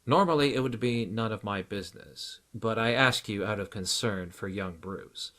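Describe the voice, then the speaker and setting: A man voicing a stout psychiatrist in an audiobook, grave and solicitous, with an earnest, deliberate, clinical manner. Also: lowish voice, fairly bright tone, lightly acted. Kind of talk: audiobook character acting.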